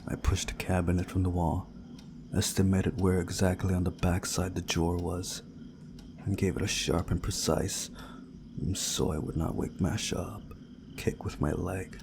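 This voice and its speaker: A man reading a visual novel story aloud in a measured, dramatic storytelling way, hushed at times. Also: sits low, level tone, vocal fry, noisy background. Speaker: video game playthrough narrator.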